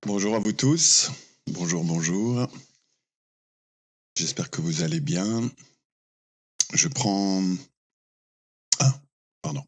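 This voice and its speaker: A man with a very low, husky voice says hello at an unhurried pace. He sounds relaxed and chuckles easily. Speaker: guest speaker. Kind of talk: casual greeting.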